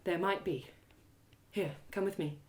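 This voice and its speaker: A woman voicing a calm, solemn female elf warrior in an audio drama, speaking in a measured way. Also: fairly low pitch, reverberant, natural voice. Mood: gentle, guarded.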